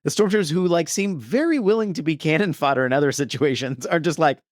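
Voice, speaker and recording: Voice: medium pitch, animated, fast, no echo, studio quality. A podcast co-host talking casually in a conversation about movies. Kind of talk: casual movie discussion chat.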